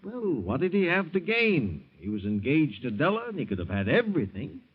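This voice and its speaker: A man's voice: fairly high pitch, huge pitch swings, a little fast, slightly rough, heavily acted. An actor playing a wealthy, trusting old father who fusses over his guests, in 1940s radio acting. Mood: puzzled. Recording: muffled 1940s radio broadcast.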